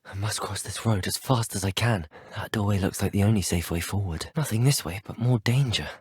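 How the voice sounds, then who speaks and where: An actor playing an earnest, brave, well-spoken teenage student wizard in a video game. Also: male voice, on the low side, brisk, slightly rough, no room sound, studio quality. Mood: quiet, wary. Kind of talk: video game dialogue clips.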